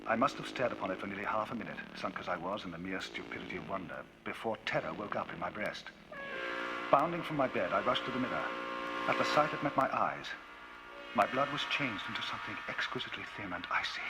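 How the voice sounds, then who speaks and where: A man giving a dramatic, theatrical reading of a classic novel as the narrator of a vinyl audiobook, his pitch and loudness rising and falling widely. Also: lively intonation, fast, very noisy. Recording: vinyl audiobook with music bed. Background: music.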